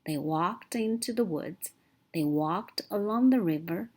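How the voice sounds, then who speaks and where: A woman reading a picture book aloud for children, slow, clear and expressive. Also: lowish voice. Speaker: children's story reader.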